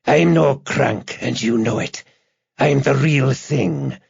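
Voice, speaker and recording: A man voicing a crazed, menacing kidnapper on the telephone in an audiobook, with a hissing, gasping rasp and a taunting, gloating manner. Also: mid-range voice, slow, a little mellow, no room sound, lo-fi sound, pitched above the performer's own voice, heavily acted. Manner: gloating, sneering, repetitive.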